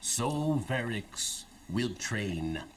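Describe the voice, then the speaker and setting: A man voicing a humbled old alien scribe in a video game, repentant and speaking in halting, broken phrases. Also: medium pitch, big pitch swings, slight background noise. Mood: humble, resolute. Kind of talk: dramatic game character monologue.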